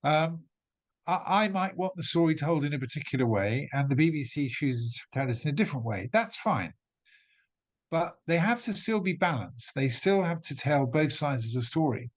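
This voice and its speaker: A man's voice: a little high, lively intonation, moderate pace. A middle-aged man raised in England, speaking as a guest to an audience and building an emphatic argument point by point, with frequent restarts. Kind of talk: talk to an audience.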